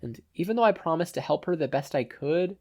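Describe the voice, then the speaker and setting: A man's voice: fairly high pitch, expressive, a little fast, a little mellow, voice pushed up. A man voicing an earnest, remorseful teenage boy hero in a story reading.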